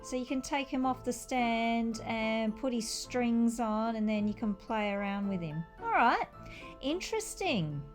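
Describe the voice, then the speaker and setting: A woman with a high-pitched voice talks through a toy set review at a slow, easy pace. Her style is chatty and informal, with enthusiastic exclamations. Faint music plays behind her. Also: animated. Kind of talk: casual chatty unboxing and review commentary.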